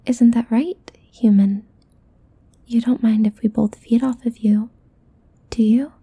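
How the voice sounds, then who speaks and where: Succubus demon played by a woman: seductive, possessive, teasing, husky breath, higher-pitched, ASMR roleplay intimacy. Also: steady tone, measured pace, dark tone. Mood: playful, sly. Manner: breathy, often whispered, teasing delivery.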